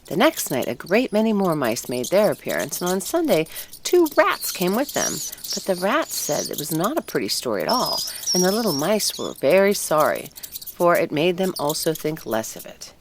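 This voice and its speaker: A woman narrates a storybook in a deliberate, expressive way. Her voice is slightly low and moves widely in pitch, and the recording carries faint background noise. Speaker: audiobook narrator.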